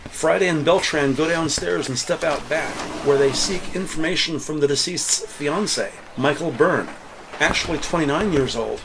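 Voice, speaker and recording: A man's voice: on the high side, background noise. A man narrating a scripted crime audio drama in the present tense.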